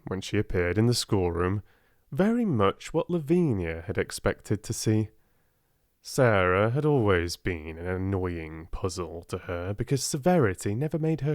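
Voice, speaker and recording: A man with a British accent reading a storybook as a soft-spoken audiobook narrator. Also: fairly low pitch, dry recording, clean studio sound.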